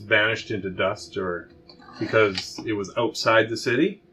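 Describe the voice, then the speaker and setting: A man's voice: on the low side, steady tone, a little slow, loud music behind the voice. A man playing in a tabletop game, joking and laughing about his character's backstory. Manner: playful, joking, laughs often. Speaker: player. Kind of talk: casual tabletop chat.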